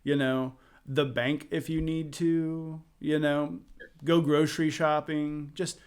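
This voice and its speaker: Smooth-voiced American man hosting a podcast. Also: fairly high pitch, level tone, takes it slowly. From United States. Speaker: podcast host. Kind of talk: casual podcast interview.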